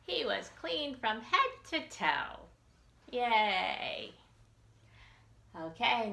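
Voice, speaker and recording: Animated, slow-paced woman reading to children. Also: medium pitch, reverberant. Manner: sing-song, exaggerated, inviting children to join in. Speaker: children's storytime librarian. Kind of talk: animated children's storybook read-aloud.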